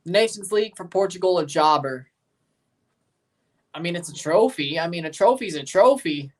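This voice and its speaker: A man's voice: high voice, expressive, normal speed, distant mic. A man from Mississippi with a Southern accent who hosts a livestream and chats casually with viewers in a laid-back, rambling way. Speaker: livestream host reacting to a match. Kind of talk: casual livestream chat, answering viewer comments.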